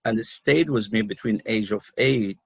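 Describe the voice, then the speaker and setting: A middle-aged man with a Lebanese accent speaks in a fairly low voice, recorded dry with little room sound. He talks off the cuff as an interview guest, halting and pausing mid-sentence as he recounts his own experiences. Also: creaky, slightly rough, no echo. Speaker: guest, numerologist and healer. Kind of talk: unscripted personal storytelling in an interview.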